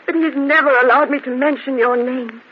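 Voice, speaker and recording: An actress in an old-time radio drama playing an anguished, devoted wife of a cruel husband, speaking with melodramatic pleading. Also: female voice, sits high, fairly quick, husky. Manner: melodramatic, breathless pleading and exclaiming. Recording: muffled, noisy old radio drama recording.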